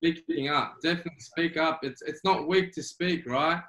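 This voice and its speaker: Emphatic high-voiced Australian man, roomy sound. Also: steady tone, normal speed, fairly bright tone. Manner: earnest, emphatic, repeats key phrases. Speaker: guest mindset coach. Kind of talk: remote interview. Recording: roomy echo.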